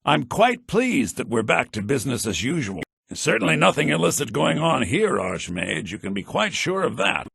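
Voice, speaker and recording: An actor voicing shrewd, wry men of a fantasy video game, such as merchants and mages, in a sly, dry, theatrically expressive manner. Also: male voice, normal speed, gravelly, few pauses, slightly rough, no echo, full character voice. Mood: dry, measured. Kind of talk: scripted video game character lines. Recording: low-bitrate game audio rip.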